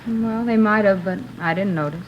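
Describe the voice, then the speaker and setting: A woman's voice: medium pitch, normal speed, a little hiss or hum, natural voice. A woman playing a polite, slightly hesitant apartment manager in a 1950s radio drama. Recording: dull old radio recording.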